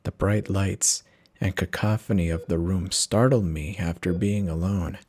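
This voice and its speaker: A man giving a theatrical, expressive dramatic reading of a first-person horror story, with dramatic pauses. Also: low-pitched. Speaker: narrator of horror stories.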